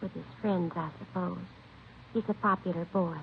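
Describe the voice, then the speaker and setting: An actress playing an anxious, protective middle-aged aunt in a 1950s radio drama, polite but defensive. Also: female voice, lowish voice, steady tone, moderate pace, gravelly. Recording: tinny, faintly noisy old radio recording.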